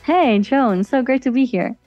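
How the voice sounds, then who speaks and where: A woman's voice: sits high, a little slow, a little mellow, highs cut off, faint music behind. A woman from Belgium with a Belgian accent, chatting casually as a podcast guest. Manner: chatty, with fillers and restarts. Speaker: podcast guest, virtual assistant. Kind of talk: casual interview answers.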